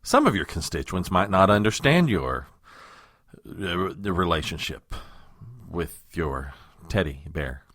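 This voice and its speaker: An actor playing a harried, world-weary chief of staff in a comedic audio drama, formal and exasperated. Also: male voice, low voice, very animated, measured pace, fairly bright tone, no echo, studio quality, full character voice. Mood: awkwardly tactful. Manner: formal, long-suffering, exasperated.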